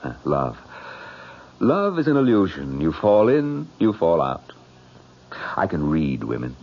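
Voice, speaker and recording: An actor playing a troubled, glib bridegroom in an old-time radio drama, halting and defensive, with a sardonic streak. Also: male voice, low voice, wildly swooping pitch, exaggerated voice. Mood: agitated, cynical. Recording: muffled old radio, faint music.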